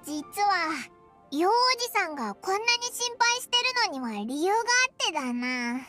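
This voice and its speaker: A childlike little fairy companion in an anime game. The voice is extremely high and squeaky, bouncing up and down with cute, cheeky bluntness over faint music. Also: female voice, big pitch swings, normal speed, sharp tone, heavily acted. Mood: chatty, cheeky. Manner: squeaky, cute, rough boyish phrasing.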